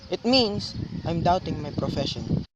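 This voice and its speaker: A man's voice: on the high side, expressive, a little slow. A young man playing a moody, doubting city man visiting home, in understated student radio drama style. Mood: guarded, sardonic. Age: young adult. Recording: noisy amateur recording.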